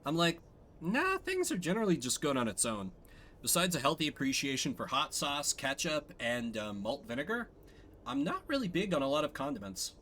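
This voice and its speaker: Animated, opinionated male game streamer. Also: fairly high pitch, moderate pace, a little hiss or hum. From Midwestern United States. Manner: opinionated, rambling. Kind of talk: casual chat and game narration.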